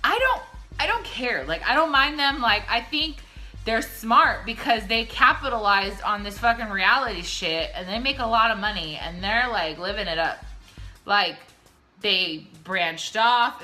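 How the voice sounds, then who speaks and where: A woman's voice: a little high, lively intonation, measured pace, bright, forceful tone, faint music behind. A young woman with a Californian American accent, tipsy, telling stories and answering questions in a brash, sweary, slangy way with dramatic emphasis. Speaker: YouTube vlogger. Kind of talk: casual storytime Q&A.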